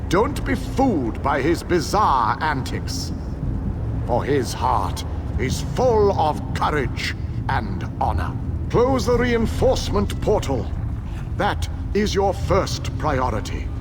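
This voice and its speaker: A resolute fantasy game commander with a fairly high male voice. He delivers grave, formal orders slowly, with lively swings in pitch. There is clear noise behind him. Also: heavily acted. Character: solemn, resolute male commander briefing a hero before battle. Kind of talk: scripted fantasy game quest dialogue.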